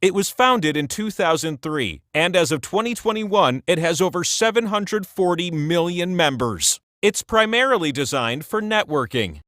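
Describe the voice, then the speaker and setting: A man's voice: high voice, expressive, moderate pace, few pauses, fairly bright tone, dry recording, clean studio sound. A man voicing a vain, godlike talking wheel that hosts a trivia game in a campy, theatrical way. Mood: playful, informative. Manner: campy, dramatic, playful delivery. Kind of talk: theatrical game-show narration.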